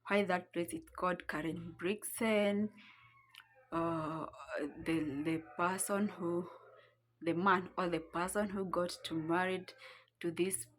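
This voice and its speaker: A woman's voice: on the low side, fairly even intonation, takes it slowly. A young woman with a Kenyan accent telling a casual vlog story from her home, rambling as she searches for words. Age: young adult. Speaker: vlogger. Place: her home, seated on the floor.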